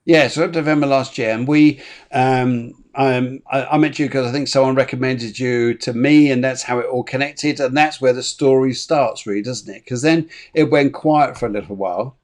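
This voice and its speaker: A man's voice: mid-range voice, moderate pace. Guy hosting a chatty online show, lots of ums and restarts. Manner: chatty, warm greetings, many fillers and restarts. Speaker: show host. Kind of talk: casual interview on an online show.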